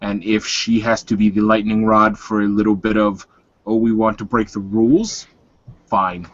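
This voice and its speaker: A man voicing a serene, scheming werewolf leader in a tabletop game, with deliberate, emphatic delivery. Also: on the low side, level tone, no room sound, dull audio, natural voice. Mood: firm, calm.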